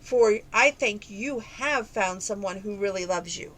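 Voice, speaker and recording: A woman voicing a kindly old Father Christmas in a children's storybook, speaking slowly and softly in a lowered voice. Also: slight background noise, close to an everyday voice.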